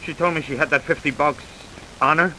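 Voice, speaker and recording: An actor playing a broken, self-loathing American murder suspect in an old-time radio crime drama, plain-spoken and downcast. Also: male voice, fairly high pitch, fairly even intonation, brisk, sharp tone, rough recording. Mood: shaken, confessing. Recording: hissy old radio recording.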